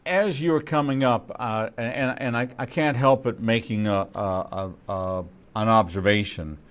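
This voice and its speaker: Slow-spoken, deep-voiced interviewer. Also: male voice, clean tone. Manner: slow, halting, repeats words while searching. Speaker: rabbi host. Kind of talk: interview questions.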